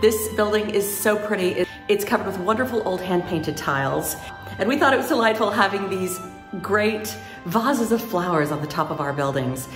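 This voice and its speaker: A woman's voice: mid-range voice, expressive, normal speed. Woman, travel vlog host, playful, laughs easily, echoey room, background music. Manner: playful, laughs often, gushing descriptive narration. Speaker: travel vlogger. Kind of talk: casual travel vlog chat and voice-over.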